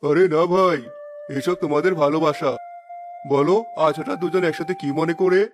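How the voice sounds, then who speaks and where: This is a high male cartoon voice acted by a man of an honest village merchant. He speaks earnestly and with emphasis. Faint music sits behind him. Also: fairly even intonation, moderate pace, lightly acted. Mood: warm, generous. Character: honest generous village cloth merchant, later a vengeful restless ghost. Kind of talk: cartoon story acting.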